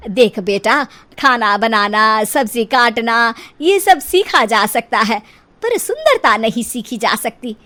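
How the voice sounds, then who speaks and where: Woman acting a high-voiced, boastful cartoon mother-in-law. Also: lively intonation, takes it slowly, pushed and bright, heavily acted. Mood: boastful, scolding. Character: proud, doting middle-aged mother-in-law, image-obsessed gossip.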